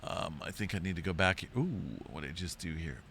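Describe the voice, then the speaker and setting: Sick man with a deep, congested voice. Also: brisk, a bit bright, slight background noise. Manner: rambling, chatty, says "right?" and "big time" often. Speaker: earthquake and space weather channel host. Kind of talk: casual screen-share update.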